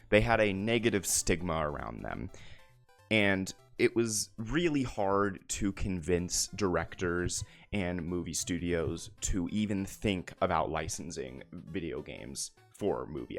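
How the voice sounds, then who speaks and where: A man's voice: sits low, creaky, fairly bright tone. A man narrating a video essay in an explanatory, conversational way with emphatic asides. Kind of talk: narrated video essay, semi-scripted commentary.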